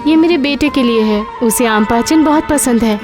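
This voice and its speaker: Woman voicing a high-pitched, earnest cartoon housemaid. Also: normal speed, music in the background, close to an everyday voice. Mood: gentle, caring. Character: humble, hard-working young mother working as a maid. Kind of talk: animated cartoon dialogue.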